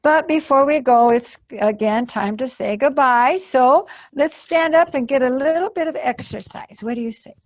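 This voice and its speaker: A woman's voice: high voice, normal speed, few pauses, dry recording. A woman hosting a library storytime, telling a story to children and adding simple explanatory asides. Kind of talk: children's read-aloud storytelling.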